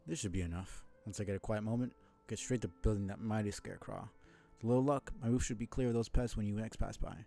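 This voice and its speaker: Man playing a gruff tavern keeper, folksy old-fashioned wording, lowish pitch, plain-spoken quest giver, video game dialogue. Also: fast, dark tone, soft background music, natural voice. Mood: grateful.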